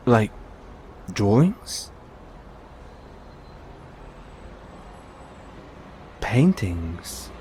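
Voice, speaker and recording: A man playing a gentle, caring android owner in an intimate one-sided audio roleplay, coaxing and inquisitive, with long pauses. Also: fairly low pitch, a little hiss or hum, full character voice. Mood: surprised, puzzled.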